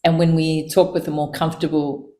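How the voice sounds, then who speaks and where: A woman in her forties from Samoa and New Zealand, talking in a relaxed, thoughtful way with frequent pauses as an interview guest. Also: low voice. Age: 40s. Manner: relaxed, thoughtful, many ums. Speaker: chocolate maker guest. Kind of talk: casual interview talk.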